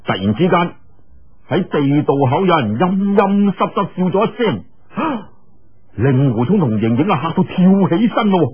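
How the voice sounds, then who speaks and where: Husky, animated Cantonese male storyteller. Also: high voice, moderate pace, phone-quality sound. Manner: dramatic, colloquial, with exclamations. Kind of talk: animated colloquial martial-arts audiobook storytelling.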